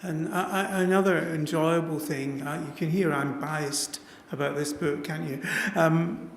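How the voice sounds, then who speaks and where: A man with a slightly high voice asks eager, rambling questions, often restarting his sentences. He sounds roomy and distant, with the long reverb of a hall and a faint murmur behind him. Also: fairly even intonation, measured pace, a little hiss or hum. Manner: enthusiastic, self-interrupting. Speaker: event chair and interviewer. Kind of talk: interview questions. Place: lecture hall at a festival event.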